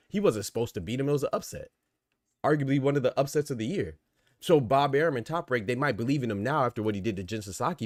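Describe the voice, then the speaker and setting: A man's voice: mid-range voice, a little mellow, dry recording, studio quality. A man from the United States hosting a boxing podcast, giving casual, opinionated livestream commentary in colloquial, conversational speech.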